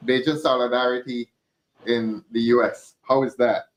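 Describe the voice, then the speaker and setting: A man's voice: medium pitch, little pitch movement, normal speed, rough recording. Inquisitive man hosting a talk show. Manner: inquisitive, with playful asides. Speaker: host. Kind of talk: live talk show interview.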